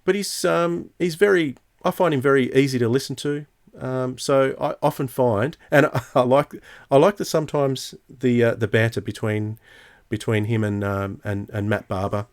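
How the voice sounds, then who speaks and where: A man's voice: medium pitch, steady tone, moderate pace. A man hosting a podcast in a casual, conversational way. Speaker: podcast host. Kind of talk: casual chat.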